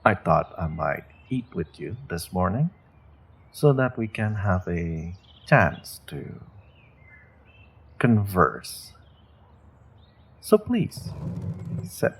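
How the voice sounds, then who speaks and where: A sinister sorcerer captor played by a man speaks very slowly, in a deep voice full of gravel. He is courteous and measured, letting polite phrases carry the threat, as in a fantasy audio roleplay. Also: big pitch swings, heavily acted. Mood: polite menace. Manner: measured, polite, veiled threats, deliberate pauses.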